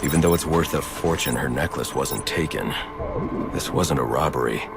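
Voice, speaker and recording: An actor playing a grim, stern vigilante detective in a video game, speaking in a low gravelly growl with clipped, deadpan, analytical delivery. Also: male voice, normal speed, music in the background. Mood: grim, focused.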